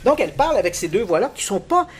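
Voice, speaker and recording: A middle-aged man with a fairly high voice that swings up and down with his excitement. He recounts events as an animated, easy-chuckling storyteller in a conversation, sounding lively rather than formal. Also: racing, a crowd in the background. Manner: animated, lively storyteller with frequent pitch jumps. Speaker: guest expert on paranormal cases.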